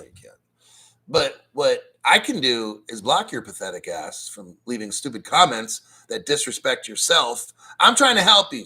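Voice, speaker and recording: A man hosts a casual crypto livestream in a sharp, bright voice that sits a little high. He rants bluntly and with plenty of profanity, mocks rival channels, and keeps clearing his throat as he reads out viewer comments. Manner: reads viewer chat donations aloud.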